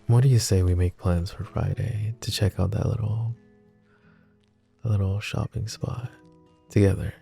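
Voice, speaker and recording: A gravelly, tender mafia boss. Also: male voice, on the low side, steady tone, normal speed, long pauses, faint music behind, full character voice. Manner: soft-spoken, teasing, intimate. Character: brooding male mafia boss, wry and tender. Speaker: voice actor. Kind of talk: ASMR roleplay.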